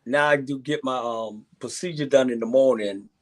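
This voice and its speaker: A man in his sixties chats as a guest on a live-stream panel, his voice at an ordinary middle pitch. He sounds easygoing, loose and talkative. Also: moderate pace. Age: 60s.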